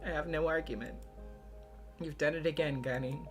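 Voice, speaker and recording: A performer voicing a fragile, tearful schoolgirl over music. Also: lightly acted. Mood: bitter, self-mocking. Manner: halting, tearful, breaks into strained high outbursts. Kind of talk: visual novel voice acting, first-person narration. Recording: music behind the voice.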